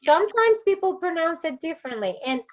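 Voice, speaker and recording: A woman's voice: very high-pitched, big pitch swings, fairly quick, highs cut off. A woman with a Puerto Rican Spanish accent, Spanish her first language, hosting an interview in a chatty way and laughing easily. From Puerto Rico. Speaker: podcast host. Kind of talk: conversational interview.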